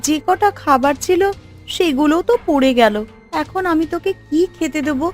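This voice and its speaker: A woman's voice: high-pitched, moderate pace, soft background music, close to an everyday voice. A woman voicing a worried, long-suffering village mother in a cartoon, lamenting as she speaks. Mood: fretful.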